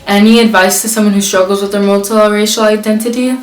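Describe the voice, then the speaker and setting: A woman's voice: mid-range voice, little pitch movement, quick pace. A young woman vlogger casually answering tag questions, reading each question aloud and filling her talk with "like". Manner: chatty, many "like" fillers, reads questions aloud. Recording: echoey room.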